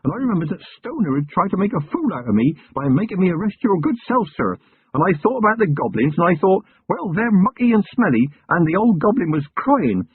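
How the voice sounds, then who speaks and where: A man's voice: high-pitched, big pitch swings, a little fast, husky, dry recording, pitched above the performer's own voice, heavily acted. A man voicing an earnest, anxious young male country constable who rambles eagerly in an audiobook. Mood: rambling. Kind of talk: audiobook character voice. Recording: tinny lo-fi narration recording.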